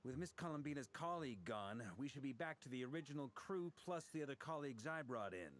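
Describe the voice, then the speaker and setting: A man's voice: medium pitch, animated, fast talker, natural voice. A man playing a wry, articulate, sociable young man in a video game. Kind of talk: video game character dialogue. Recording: faint noise from game stream.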